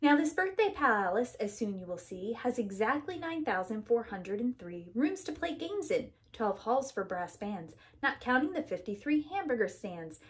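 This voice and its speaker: Female preschool teacher for children's read-aloud: higher-pitched, very expressive, sing-song rhyming delivery with emphatic rhythm. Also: moderate pace, few pauses, slight background noise. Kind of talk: animated read-aloud of a rhyming picture book.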